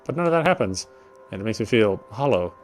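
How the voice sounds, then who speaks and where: A man's voice: fairly low pitch, animated, quick pace. A man doing casual let's play commentary and reading a game's story text aloud. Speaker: game streamer. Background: game music.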